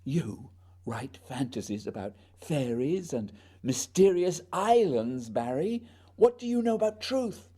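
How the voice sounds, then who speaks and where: A man's voice: mid-range voice, sing-song, measured pace, dark tone, breathy edge. A man playing a proud, duty-bound polar explorer, solemn and questioning, in a radio play.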